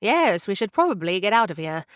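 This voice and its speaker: A woman's voice: medium pitch, sing-song, brisk, no echo, clean studio sound, natural voice. A woman playing a curt tiny ship captain. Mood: brusque. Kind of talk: audio drama acting.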